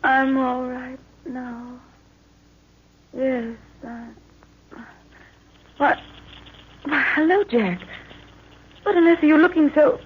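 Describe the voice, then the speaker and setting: High-voiced anxious radio heroine. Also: female voice, slow pace, pauses often. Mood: dazed, bewildered. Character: anxious, affectionate young heroine, professor's daughter. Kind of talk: 1940s radio acting. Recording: muffled, noisy old radio recording.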